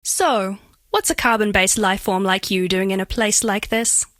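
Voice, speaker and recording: A woman's voice: mid-range voice, normal speed, a bit bright, no room sound, clean studio sound, lightly acted. A woman voicing a bashful, flirtatious female alien made of living diamond in a video game, speaking in precise, oddly formal, earnest phrases. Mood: flirty, earnest. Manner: precise, stilted formal diction, earnest, coyly playful. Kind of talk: scripted game character voice lines.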